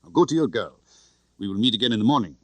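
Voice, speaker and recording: A male narrator puts on a big, tough spy chief for an audiobook, cheerful and sure of himself. He talks expansively and theatrically, with pitch that swings widely, recorded close and dry. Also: expressive, fast talker, no room sound. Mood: cheerful, reassuring.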